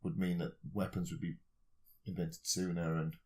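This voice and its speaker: Deep-voiced man reasoning aloud casually. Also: level tone, normal speed, darkish tone. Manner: tentative, reasoning aloud, self-questioning. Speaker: podcast co-host. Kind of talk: casual speculative discussion.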